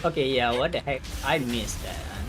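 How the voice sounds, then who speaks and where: A game streamer giving casual live commentary, thinking aloud in a hesitant, halting way. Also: mid-range voice, expressive, over music.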